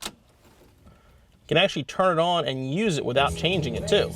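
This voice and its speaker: Higher-voiced man giving how-to instructions over music. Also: normal speed. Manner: plain-spoken, step-by-step explaining. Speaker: host of car installation how-to videos. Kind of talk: instructional product demonstration.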